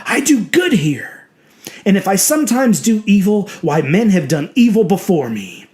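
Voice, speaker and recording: A man's voice: sits high, very animated, normal speed, a little mellow, pitched above the performer's own voice. A man voicing a petulant, self-righteous small-town reverend with a beast inside, preaching his own excuses in an indignant, brooding way for a horror audio drama. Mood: self-righteous, scheming. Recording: music behind the voice.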